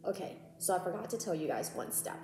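A young woman in her twenties giving a relaxed, chatty cook-along talk to camera in her home kitchen, with frequent "um" and "go ahead". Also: lowish voice, quick pace. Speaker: cooking vlogger. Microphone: camera on a tripod across the counter. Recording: echoey kitchen with background music.

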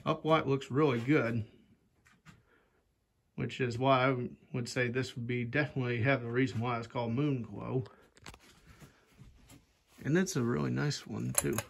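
A man's voice: mid-range voice, fairly even intonation, a little slow, vocal fry, long pauses, breathy edge. A young man hosting a casual fan-collecting video, rambling offhand with many "anyway"s, filmed on a hand-held phone in a rafter-roofed attic. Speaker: ceiling fan collector channel host. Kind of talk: casual fan demo vlog. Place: attic or shed with exposed wooden rafters, indoors. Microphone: phone camera held by hand, pointed up at a fan.

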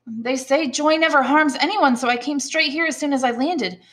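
A woman reading an audiobook as an affectionate, excitable young male sailor of twenty. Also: sits high, normal speed, pitched above the performer's own voice. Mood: excited, earnest. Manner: earnest, excitable. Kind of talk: audiobook storytelling.

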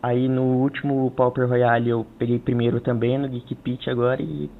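A man's voice: mid-range voice, level tone, moderate pace, creaky. A man with a Brazilian accent casually answering interview questions as a tournament player. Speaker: tournament champion being interviewed. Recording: tinny phone-like voice call.

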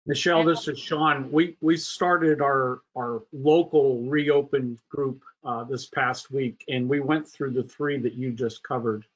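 A man's voice: mid-range voice, steady tone, measured pace, few pauses. A man giving his view in an online meeting discussion, speaking as a school board chair. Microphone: video call. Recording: dull lo-fi video call audio.

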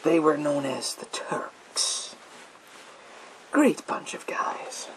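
A man playing a sinister psychic mind-reading soldier in a gas mask, in a theatrical, drawn-out, whispered ASMR roleplay. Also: fairly high pitch, very animated, heavily acted, rough recording. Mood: reminiscing. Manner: theatrical, slow, drawn-out, repetitive phrases.

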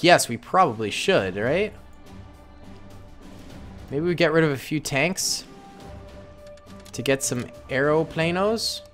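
A young man gives easygoing commentary over a strategy game, joking in slang as he plays. His pitch moves around a lot as he talks. Soft music runs faintly beneath his voice. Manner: playful, jokey slang. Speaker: gaming YouTuber. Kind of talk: casual gameplay commentary.

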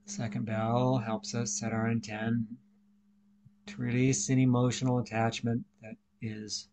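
A man leading a guided meditation, speaking deliberately in short instructional phrases with pauses. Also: medium pitch, flat delivery, a little mellow.

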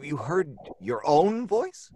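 A man's voice: a little high, sing-song, slow pace, dark tone, a little hiss or hum. A man playing a worried, sensible husband with an earnest, exasperated manner in an old-time radio drama. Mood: soothing, doubtful.

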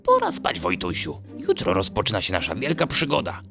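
A man's voice: on the low side, wildly swooping pitch, fairly quick, creaky, over music, full character voice. A man voicing a kind, cheerful, resolute male fox who reassures his cousin in a children's bedtime story. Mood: sleepy.

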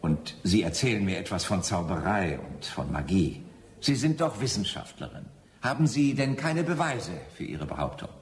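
A man's voice: on the low side, lively intonation, moderate pace, natural voice. An actor plays a stern, skeptical judge in a period radio drama, heard in a reverberant room with slight background noise. He is formal and authoritative. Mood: exasperated. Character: stern, skeptical male judge presiding in a 19th-century court. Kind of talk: German radio drama acting. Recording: echoey room, faint noise and ambience.

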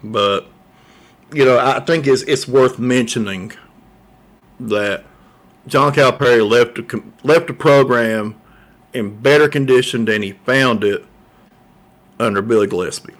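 A man's voice: mid-range voice, measured pace, long pauses, fairly bright tone. Rambling man talking sports. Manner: rambling, repeats words and fillers. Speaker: local sports show host. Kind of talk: casual sports talk monologue. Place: small room set up as a coffee shop, indoors. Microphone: large studio mic on a boom arm.

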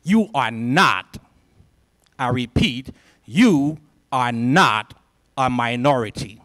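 A man in his forties from Liberia speaks into a handheld microphone before a packed college lecture hall. He talks at an ordinary pitch in a rousing, preacher-like rhythm, with repeated phrases for emphasis, and the sound is dry despite the big room. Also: expressive, slow, a bit bright. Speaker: symposium speaker. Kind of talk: motivational speech to students.

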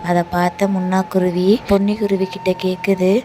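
A woman narrating a cartoon story in an even, storytelling manner. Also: fairly low pitch, normal speed. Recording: light music bed.

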